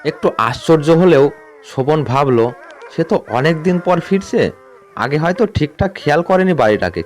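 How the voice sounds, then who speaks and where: A man narrates a scripted ghost story. His voice sits at an average pitch, and he tells it in a measured, suspenseful manner. Music plays underneath the narration. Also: darkish tone. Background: background music.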